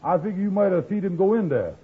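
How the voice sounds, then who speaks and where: An actor playing a concerned, coaxing friend in 1950s radio comedy, raspy and hesitant, in folksy ungrammatical dialect. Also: male voice, high-pitched, animated, quick pace. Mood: puzzled. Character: concerned, coaxing friend searching for a missing pal. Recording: band-limited, tinny old radio recording.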